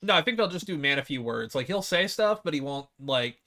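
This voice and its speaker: Lively, higher-voiced man in casual banter. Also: fairly quick. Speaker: podcast panelist. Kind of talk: casual group chat about video game movies.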